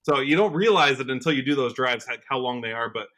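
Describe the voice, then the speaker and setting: An American man in his early thirties with a bright, forward voice. He talks casually as a musician guest in an interview, in a conversational way peppered with "you know.". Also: mid-range voice, expressive, fast. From Washington State, United States. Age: early 30s.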